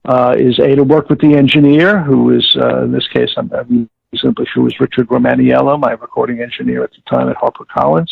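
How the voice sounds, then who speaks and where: An older man with a gravelly voice explaining things in an interview, halting and working methodically through his points. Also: medium pitch, steady tone, no room sound. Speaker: audio director-producer, interviewee. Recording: thin phone-like line.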